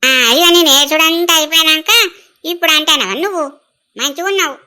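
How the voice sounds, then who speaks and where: A woman plays a village elder sister in a family drama, with a high, bright voice and a Telangana accent. She is blunt and emotional, exclaiming plaintively. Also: a little fast. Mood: teasing, blunt. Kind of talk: rural family drama acting.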